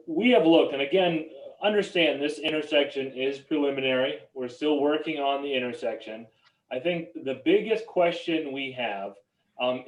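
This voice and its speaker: A man explaining a road design to a town meeting in a measured way, pausing on frequent ums. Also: a little high. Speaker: project engineer. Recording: roomy, distant sound.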